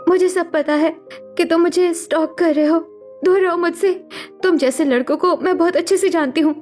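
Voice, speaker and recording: A woman's voice: extremely high pitch, steady tone, normal speed, a little mellow. A woman voicing a hurt, defensive young woman in a cartoon story, emotional and distrustful. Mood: defensive, angry. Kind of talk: Hindi cartoon story voice acting. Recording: music behind the voice.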